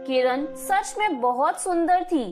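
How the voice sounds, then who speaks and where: A woman's voice: high-pitched, expressive, takes it slowly, music in the background. A woman narrating a scripted moral story as a storyteller.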